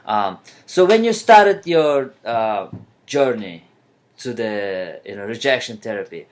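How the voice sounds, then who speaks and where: A man from Kyrgyzstan, a native Kyrgyz speaker, hosting an interview podcast and asking questions in a soft, slightly halting way. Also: lowish voice, animated. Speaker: podcast host.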